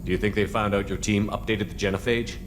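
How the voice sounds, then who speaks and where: An actor playing a firm, direct human military commander in video game dialogue, no-nonsense in manner. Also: male voice, on the low side, fairly even intonation, quick pace, close to an everyday voice. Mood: businesslike. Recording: clear ambient noise behind.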